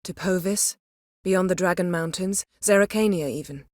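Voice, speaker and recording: An actress playing a proud, sharp-tongued sorceress in a fantasy video game, speaking in a haughty, sardonic, clipped and articulate way. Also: female voice, lowish voice, normal speed, no echo, clean studio sound, lightly acted. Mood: wry.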